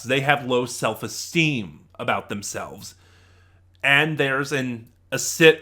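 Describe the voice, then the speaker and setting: Male reviewer, very bright forceful delivery, keen and emphatic, halting off-the-cuff phrasing. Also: medium pitch. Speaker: video reviewer. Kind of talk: casual unscripted audio drama review.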